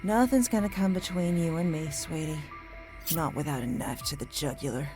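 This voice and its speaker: A woman's voice: low voice, moderate pace, mellow, faint music behind, close to an everyday voice. A woman playing a protective farm wife and mother in an audio drama, soothing yet firm. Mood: tender, protective.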